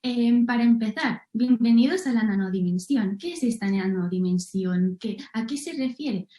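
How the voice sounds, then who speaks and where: Young woman, student researcher, slightly high mellow voice, formal explanatory delivery, echoey video call. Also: moderate pace. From Barcelona, Spain. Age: young adult. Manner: formal, didactic, with rhetorical questions. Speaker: student researcher presenting a project. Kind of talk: prepared academic presentation. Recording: roomy, echoing video call audio.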